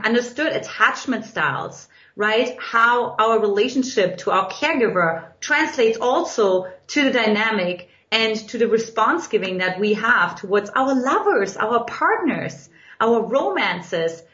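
A woman's voice: a little high, animated, unhurried, a bit bright. A woman from Eastern Germany with a German accent, a podcast guest telling her story in an earnest, reflective way. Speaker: guest, dating coach. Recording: echoey, dull lo-fi sound.

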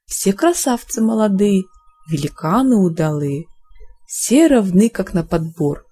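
A female reader speaks as a storybook seafarer reporting wonders with respectful awe. The delivery is grand rhythmic verse, lively in pitch, with a mellow, husky tone. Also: unhurried. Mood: awed recounting. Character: seafaring merchant guests recounting marvels, respectful and awed.

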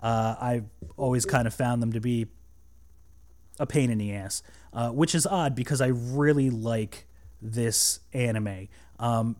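Guy hosting a podcast, lots of ums and restarts, cheap mic, middle pitch. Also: darkish tone. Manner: rambling, full of fillers and restarts. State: a little nervous. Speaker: podcast host. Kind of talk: casual podcast chat. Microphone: cheap microphone.

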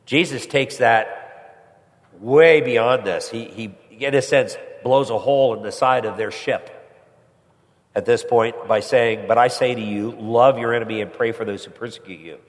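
A pastor preaching a sermon with a stuffy head cold, climbing higher and louder when he presses a point. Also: measured pace. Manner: rises in pitch and volume when pressing a point. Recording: long hall reverb.

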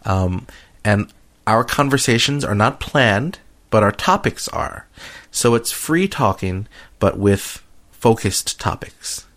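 A man's voice: on the low side, animated, takes it slowly, fairly bright tone. A man co-hosting a podcast in casual, unscripted chat, with a joking manner. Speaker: podcast co-host.

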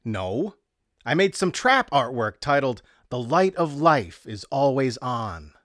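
A man's voice: mid-range voice, very animated, takes it slowly, a bit bright, no room sound, studio quality. A man narrating a story as a wry, teasing male prankster artist with a provoking smart-aleck manner. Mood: scheming.

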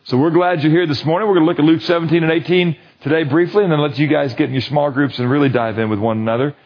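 A man's voice: fairly high pitch, fairly even intonation, quick pace, highs cut off. A man leading a men's Bible study, preaching in a conversational, emphatic way and often checking that listeners agree. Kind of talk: sermon.

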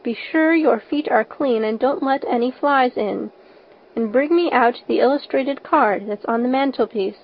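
A woman's voice: sits high, a little slow. A woman voicing a stern, practical older guardian in an audiobook, firm and matter-of-fact, on a slightly dull recording. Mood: stern, commanding. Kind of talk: audiobook character voice.

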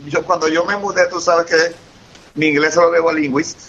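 Venezuelan man, interview guest, effusive, bright tone, dull lo-fi recording with faint hiss. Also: fairly high pitch, steady tone, brisk. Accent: Venezuelan Spanish. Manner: enthusiastic, effusive, repeats himself. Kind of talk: casual interview conversation.

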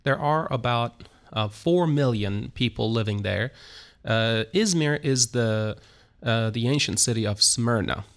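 A middle-aged man with a Turkish accent, a church planter telling his story as an interview guest, speaking haltingly with many fillers and restarts. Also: medium pitch. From Turkey.

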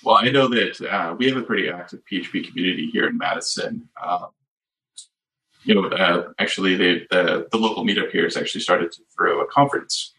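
A man's voice: sits low, fairly quick, bright, forceful tone, poor audio. A man co-hosting a podcast in casual chat, rambling with many fillers and restarts. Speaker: podcast co-host.

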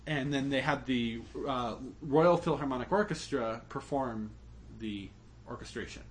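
A man co-hosting a podcast, explaining a topic at length in relaxed chat. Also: mid-range voice, unhurried, a little hiss or hum, low-quality recording. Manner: explains at length, with fillers and restarts. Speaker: podcast co-host. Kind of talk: casual chat.

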